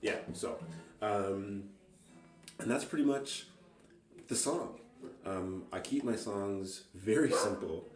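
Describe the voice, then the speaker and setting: A man's voice: on the low side, slow, long pauses. A man talks casually and off the cuff as a songwriter, rambling hesitantly and self-deprecatingly. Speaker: amateur singer-songwriter. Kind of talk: casual unscripted music vlog. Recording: roomy, distant mic, faint guitar.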